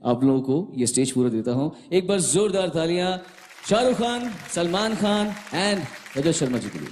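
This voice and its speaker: A man's voice: on the high side, big pitch swings, normal speed, darkish tone, a faint crowd, a little hiss or hum. A man with an Indian accent hosting a live event from the stage as master of ceremonies. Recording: reverberant large hall.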